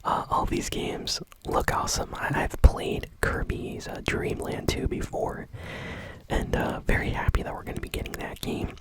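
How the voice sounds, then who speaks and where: A woman hosting gaming news in an ASMR style, talking softly, informally and without hurry. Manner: soft, unhurried, informal. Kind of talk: whispered ASMR news commentary.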